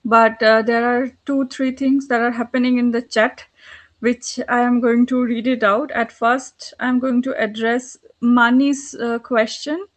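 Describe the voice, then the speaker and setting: A woman moderating a webinar, relaying audience questions in a halting, polite way. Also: on the high side, flat delivery.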